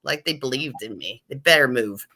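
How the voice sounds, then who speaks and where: A woman's voice: extremely low pitch, lively intonation, normal speed, pushed and bright. A woman improvising a silly story with a friend, playful and rambling.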